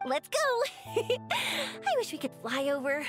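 An eager, friendly young woman adventurer from a video game. Her voice is high and lively, with an upbeat, determined manner. Music plays clearly behind her. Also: takes it slowly, exaggerated voice. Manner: upbeat, expressive. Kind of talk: English video game voice acting.

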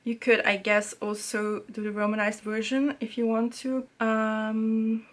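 A woman's voice: on the high side, unhurried, a little hiss or hum. Chatty young woman reviewing an app. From Serbia. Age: young adult. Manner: chatty, relaxed, many fillers. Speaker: language learning YouTuber. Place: small home room with bookshelves.

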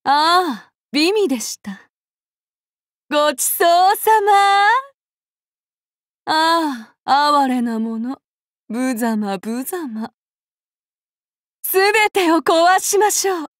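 An actress voicing a cruel, demon-blooded female warrior in a game, with soft, polite speech that turns threatening. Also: high voice, expressive, extremely slow, pauses often, dry recording, studio quality, heavily acted. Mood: sweetly menacing. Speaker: game character voice. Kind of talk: anime game voice acting.

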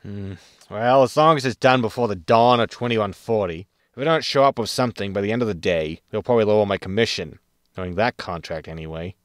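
A man playing a gruff, roguish spaceship captain in a sci-fi comedy audio drama, curt, wry and easily irked. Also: on the low side, fairly quick, dry recording, studio quality, close to an everyday voice.

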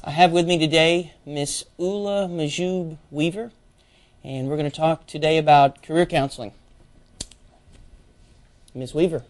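A man's voice: a little high, fairly even intonation, a little slow, pauses often. A middle-aged man hosting a campus TV interview show, asking questions in a playful, joking way from a studio desk. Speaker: talk show host. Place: small TV studio set, seated at a desk.

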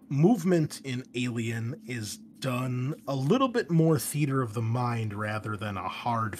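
A man with a fairly low voice talks through game rules as a host and game master. He is conversational and unscripted, drifting into asides. There is some background noise on the recording. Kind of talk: casual unscripted rules explainer.

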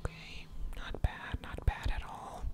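Female ASMR game host, unhurried whisper, soft mouth sounds, card game commentary.

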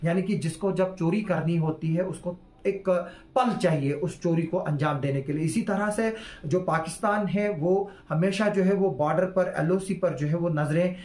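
A man in his forties delivers news commentary straight to camera, his voice sitting slightly high. He keeps stopping on hesitant fillers as he talks on earnestly. The small room around him rings with echo. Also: moderate pace, a little mellow. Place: small indoor room with curtain backdrop. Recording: echoey room.

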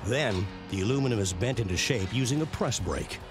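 A man reading scripted narration for a documentary series in a measured, punchy way. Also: on the low side, lively intonation. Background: prominent background music.

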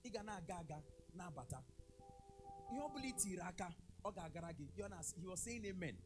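A man with a Ghanaian English accent preaching a sermon, telling a story with acted dialogue and breaking into shouts. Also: high voice, expressive, quick pace, music in the background. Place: church service.

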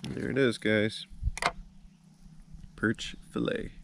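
A man in his thirties casually narrating a how-to at a wooded campsite, chatty and relaxed, talking to viewers as guys. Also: fairly low pitch, slow, vocal fry, noisy background. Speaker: fishing and camping vlogger. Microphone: wearable action camera.

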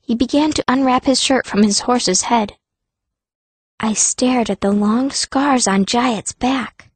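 High-pitched woman narrating a fantasy audiobook. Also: takes it slowly, husky, dry recording. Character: fierce young girl stone mage telling her story. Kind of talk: first-person fantasy story narration.

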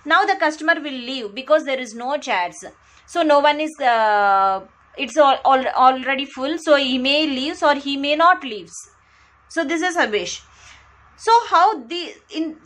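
Indian lady teacher, high voice, talks while drawing on a whiteboard, lots of uhs. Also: a bit bright. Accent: Indian English. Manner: many fillers and restarts, repeats phrases to stress points. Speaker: lecturer. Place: indoors at a whiteboard. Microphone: close mic, speaker off camera, only hands seen writing.

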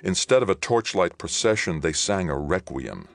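A man's voice: low-pitched, big pitch swings, normal speed, vocal fry, faint music behind, voice pushed up, heavily acted. Troubled, earnest war veteran, read by a man. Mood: somber, intense. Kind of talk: audiobook character dialogue.

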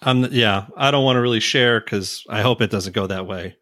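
A man's voice: lowish voice, normal speed, fairly bright tone, no echo, clean studio sound. A man co-hosting a podcast, chatting casually about a television series. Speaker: podcast co-host.

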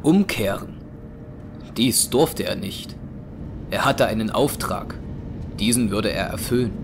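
A man narrating a scripted audio drama as a measured storyteller. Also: on the low side, gravelly, noisy background. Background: music.

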